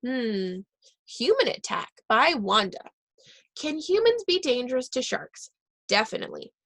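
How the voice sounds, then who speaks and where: A woman reading a children's book aloud, pausing and correcting herself now and then. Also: a little high, big pitch swings, fairly bright tone, no room sound. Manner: reads aloud with pauses and self-corrections. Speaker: reader.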